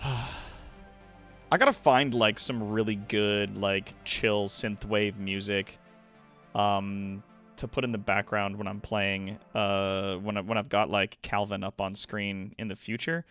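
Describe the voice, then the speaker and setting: A man's voice: fairly low pitch, level tone, takes it slowly, fairly bright tone, soft background music. A man hosting a livestream with casual, informal, sweary chat. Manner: profane, informal. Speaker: livestream host.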